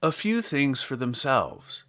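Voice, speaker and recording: A man reading scripted literary material aloud as a podcast host, in a measured, articulate, theatrical manner. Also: mid-range voice, wildly swooping pitch, a bit bright. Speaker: podcast host and poetry reader. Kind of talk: scripted literary introduction and poetry recitation.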